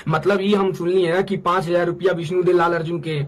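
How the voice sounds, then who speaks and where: A man's voice: high-pitched, flat delivery, brisk, a crowd in the background. A man with a Bhojpuri accent in a casual phone conversation, asking questions and responding. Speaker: caller questioning and listening.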